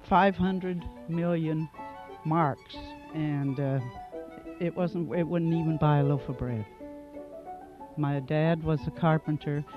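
An older man from Germany, a native German speaker, recalling his past in an oral history interview, unhurried and full of fillers. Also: on the high side, steady tone. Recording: dull lo-fi recording with music underneath.

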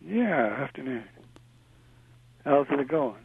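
An older man on a call-in radio show, speaking at an ordinary mid pitch. He talks haltingly, with plenty of uhs and restarts. His voice comes through a narrow, tinny phone line. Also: big pitch swings, vocal fry, husky, no room sound. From Indiana. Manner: halting, with many fillers. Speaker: caller.

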